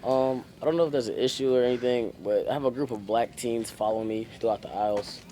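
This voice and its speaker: A young man speaks casually in a mid-range voice. His delivery is fast. The location recording is clearly noisy. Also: medium pitch, dark tone. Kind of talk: casual chat.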